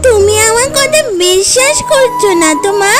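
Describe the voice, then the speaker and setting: A woman voicing an eager little girl in a children's cartoon, with a drawn-out, whiny, pleading delivery. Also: very high voice. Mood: insistent. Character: eager little girl who adores mangoes. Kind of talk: children's cartoon story voice acting. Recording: loud background music.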